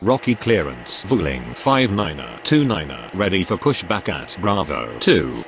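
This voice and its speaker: A man's voice: deep voice, sing-song, unhurried, slight background noise, heavily acted. A man voicing an aviation radio figure in a video game, delivering scripted, clipped procedural calls. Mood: businesslike. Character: adult male airline pilot and air traffic radio voice.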